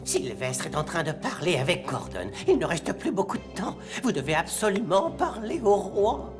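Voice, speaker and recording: Low-voiced, polite little cartoon scholar. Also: female voice, sing-song, normal speed, music in the background. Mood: persuasive. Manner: courteous, earnest, slightly formal. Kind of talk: animated fairy-tale dub.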